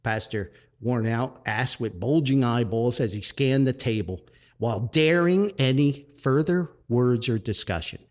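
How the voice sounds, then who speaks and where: A man reading a comic story aloud as a podcast host, with theatrical pauses and emphasis. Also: mid-range voice.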